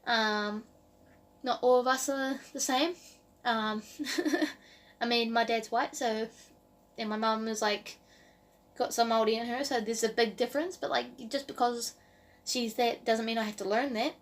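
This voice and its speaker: A woman's voice: a little high, steady tone, measured pace. A woman in her early twenties with a New Zealand accent, talking casually to camera as a vlogger in a rambling way full of "like" and "um". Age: early 20s.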